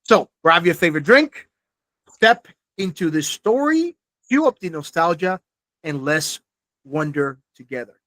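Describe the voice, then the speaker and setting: Male podcast host, forties, high-pitched, polished and measured, dry close sound. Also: sing-song, pushed and bright, no room sound, poor audio. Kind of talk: scripted podcast intro and interview questions.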